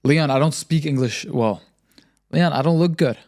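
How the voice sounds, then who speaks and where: A man's voice: fairly high pitch, normal speed, a little mellow. A young man hosting a podcast, giving confident advice in a conversational explanatory way. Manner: confident, explanatory, frequent emphatic repetition. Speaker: podcast host and marketing agency founder.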